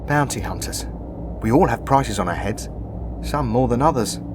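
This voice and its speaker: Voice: medium pitch, expressive, moderate pace, lightly acted. An actor playing a curious, tech-minded spaceship crew member in an audio drama. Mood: intrigued, concerned. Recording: clear noise behind the voice.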